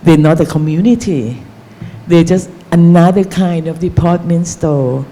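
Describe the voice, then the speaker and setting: A Thai-accented woman answers questions on an academic panel in English, her second language. She talks slowly and haltingly, circling back to find her words, in a mellow, warm voice. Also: on the low side. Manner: halting, searching for words, many fillers. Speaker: novelist on a panel.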